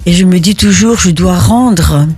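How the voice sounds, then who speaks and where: An older retired woman from Italy, answering interview questions in a halting way, full of restarts. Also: fairly low pitch, a little mellow, breathy edge, dry recording, soft background music. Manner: halting, with many restarts and fillers. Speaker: interviewee, neighborhood volunteer.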